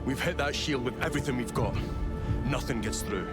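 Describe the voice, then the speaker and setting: Man playing a curt, stern captain over loud music. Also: brisk, lightly acted. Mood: skeptical. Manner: curt, demanding, authoritative. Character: stern, impatient military captain of space warriors. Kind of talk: cinematic video game acting. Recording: loud music and engine rumble behind. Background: loud score, vehicle rumble.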